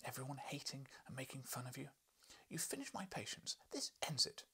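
Man voicing a high, breathy, indignant wife. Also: sing-song, a little fast, bright, forceful tone, whispered, heavily acted. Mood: scolding. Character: indignant, scolding wife of a professor, high-strung woman. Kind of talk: audiobook character voice.

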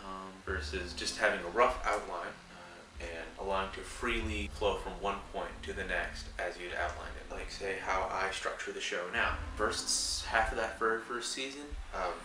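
A young man with a low voice talks to camera in a rambling, casual way, his voice a little raspy from a cold. He sits a few feet from the mic in a small room at night, so the sound is roomy and distant. A faint buzz hums behind him. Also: fairly even intonation, moderate pace, hardly any pauses, noisy background. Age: young adult. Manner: rambling, many fillers and restarts. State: recovering from a cold, slightly raspy. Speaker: vlogger. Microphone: camera a few feet away.

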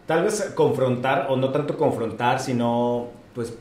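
A man's voice: mid-range voice, unhurried, a little mellow. A man from Guadalajara with a Mexican accent, co-hosting a podcast in casual talk, joking and stumbling over repeated words. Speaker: podcast co-host. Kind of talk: casual conversation. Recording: echoey room, distant mic, faint noise.

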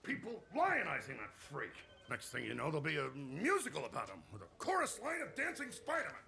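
Gravelly, ranting newspaper boss. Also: male voice, on the high side, huge pitch swings, brisk, exaggerated voice. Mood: outraged ranting. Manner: ranting, shouting. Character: blustering middle-aged male newspaper publisher.

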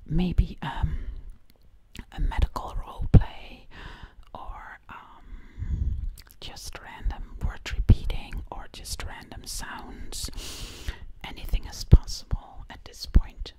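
Breathy whispering Dutch-accented woman. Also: rough recording. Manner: slow, repeats words over and over. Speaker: ASMR performer in a zombie princess role play. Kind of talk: whispered ASMR ramble with word repeating. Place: dim living room indoors. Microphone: close to the mic, ear to ear.

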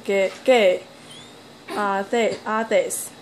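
A woman off camera reading interview questions slowly, with small stumbles. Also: medium pitch, noisy background. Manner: slow, flat reading with stumbles.

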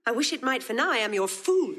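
A young woman disguised as a youth in a classical audio drama. Her voice is fairly high, and she speaks the verse with earnest, guarded restraint. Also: sing-song, quick pace, fairly bright tone.

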